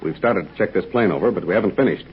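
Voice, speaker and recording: A man playing a calm, steady heroic pilot in a 1940s radio serial, explaining things patiently. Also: low-pitched, breathy edge. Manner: reassuring, deliberate, explains patiently. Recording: dull 1940s radio transcription.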